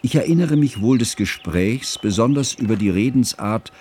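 An actor playing a scholarly, reflective narrator who recalls his friend in measured, bookish literary radio drama narration. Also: mid-range voice, animated, dark tone, breathy edge. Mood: fond, reminiscing.

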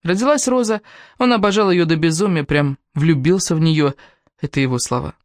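A woman's voice: low voice, brisk, darkish tone, no room sound, studio quality. A woman reading a first-person novel aloud as scripted audiobook narration.

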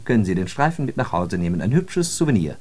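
A man's voice: on the low side, very animated, fast, a little mellow. A man acting in a radio drama as a worldly, ironic storyteller, speaking with theatrical emphasis. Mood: mock-cheerful showmanship. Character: worldly man telling ironic travel parables of New York. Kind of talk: scripted German radio drama monologue.

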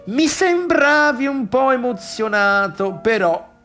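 A man's voice: very high-pitched, animated, slow, pushed and bright, faint music behind, heavily acted. An actor playing an effusive, patronising middle-aged father in a radio play, with a teasing, exclamatory drawl. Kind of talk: theatrical radio drama dialogue.

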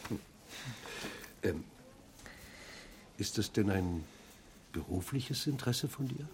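A man's voice: lowish voice, lively intonation, takes it slowly, a little mellow, breathy edge, heavily acted. An actor playing a bashful, bookish male bookseller who talks hesitantly in a radio drama. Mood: helpful, bashful. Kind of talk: German radio drama dialogue.

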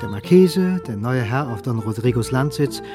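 A man narrating a radio drama in a calm storytelling voice. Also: medium pitch, normal speed. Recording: radio play with music behind.

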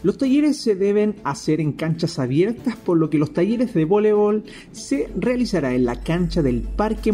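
A woman reads a scripted report. Her voice is dark and soft-edged, with animated, swinging intonation. Music plays lightly behind her. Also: fairly low pitch. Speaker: narrator of a recorded report. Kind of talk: scripted informational narration. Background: faint music.

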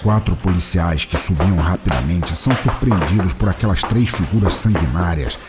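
Brazilian male narrator, low voice, scripted comic action storytelling over music. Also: moderate pace.

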